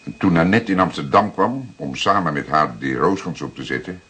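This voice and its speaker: An actor playing a seasoned older police detective in a Dutch radio drama, explaining things deliberately with dramatic pauses. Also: male voice, deep voice, expressive, fairly bright tone, breathy edge, full character voice. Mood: patient, explaining. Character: seasoned older male police detective, calm, plain-spoken storyteller.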